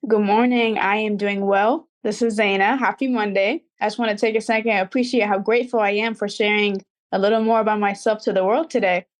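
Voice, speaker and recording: A woman's voice: mid-range voice, fairly even intonation, fairly quick, few pauses. Young woman. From Dubai. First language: Arabic. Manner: earnest, reflective, slightly formal. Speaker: guest, recording artist. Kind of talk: interview answers.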